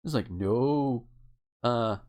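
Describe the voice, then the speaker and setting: A podcast host chatting casually and reading out word lists, haltingly, with swearing and joking asides. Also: mid-range voice, darkish tone.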